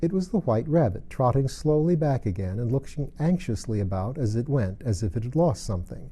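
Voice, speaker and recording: A man's voice: medium pitch, expressive, fairly quick, dark tone, slightly rough. A man narrating a storybook as an audiobook reader.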